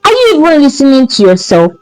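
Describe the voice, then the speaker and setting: A woman's voice: high-pitched, huge pitch swings, quick pace, no echo. A woman with a Nigerian accent voicing an exasperated young man who scolds his friend with emphatic questions and interjections in a folktale.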